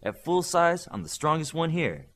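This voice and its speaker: A man's voice: fairly high pitch, very animated, normal speed, creaky, fairly bright tone, voice pushed up, full character voice. Man voicing a boastful giant superhero.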